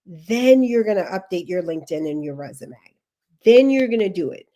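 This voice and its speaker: A woman's voice: medium pitch, lively intonation, moderate pace, mellow. Sniffly woman giving emphatic career tips. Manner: emphatic, repeats key instructions, chatty asides. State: sniffly with allergies. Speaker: career coach. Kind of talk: livestream career advice.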